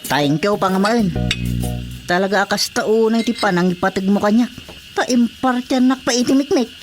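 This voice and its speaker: A woman's voice: medium pitch, moderate pace, over loud music, natural voice. A woman playing a teasing, chatty countrywoman in an Ilocano radio comedy drama, speaking with an Ilocano accent. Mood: playful.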